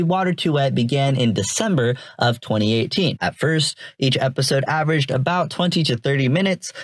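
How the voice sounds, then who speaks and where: A man's voice: on the high side, no echo. A man hosting a podcast in a chatty, folksy way, speaking directly to his listeners. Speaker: podcast host. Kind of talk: conversational podcast commentary.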